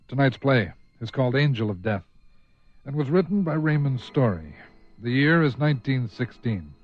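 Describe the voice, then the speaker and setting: A man hosting and narrating a horror radio anthology in an ominous, measured, scripted style. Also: medium pitch, sing-song, no room sound, muffled recording. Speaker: radio drama host and narrator.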